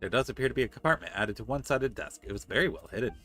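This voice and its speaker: A male game streamer with a mid-pitched voice who reads on-screen text aloud at a quick clip. He slips casual, joking asides between the passages, with faint music behind him. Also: lively intonation. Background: soft game music.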